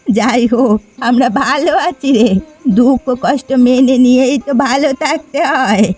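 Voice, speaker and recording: A woman voicing a poor, elderly, resigned village hotel keeper in a cartoon fairy tale, with a plaintive delivery. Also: high voice. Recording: music behind the voice.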